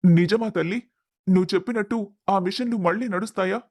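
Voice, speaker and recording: A man acts out a trusting middle-aged mill owner in a family story. His voice is high for a man and closely, dryly recorded. He speaks with earnest, open emotion. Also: fast, no echo, studio quality. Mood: hopeful, relieved. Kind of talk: dramatized moral story acting.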